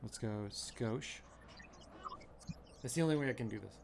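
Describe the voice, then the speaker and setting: A man livestreaming, quietly muttering to himself in casual chatter while he fiddles with his sound levels. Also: mid-range voice, moderate pace, gravelly. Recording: noisy stream audio with train sounds. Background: train ambience.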